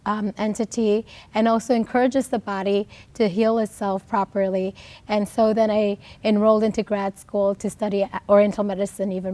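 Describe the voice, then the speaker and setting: A woman co-hosting an informal educational show, her voice husky from laryngitis. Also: medium pitch, darkish tone. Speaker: co-host, acupuncturist and yoga instructor.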